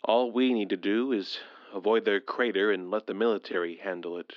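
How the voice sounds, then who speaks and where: Actor as low-voiced, shaken, stubborn husband. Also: male voice, steady tone, no echo, muffled recording, lightly acted. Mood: anxious, insistent. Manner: halting, breathy sighs, insistent. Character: shaken but stubbornly dismissive husband, alien invasion survivor. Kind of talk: modern audio drama acting.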